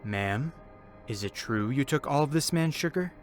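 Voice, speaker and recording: A male actor plays a lanky cop in a horror audio drama. He is stern and measured, interrogating in a middle-pitched voice with music underneath. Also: expressive, close to an everyday voice. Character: lanky, level-headed, stern male police officer. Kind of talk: horror audio story acting.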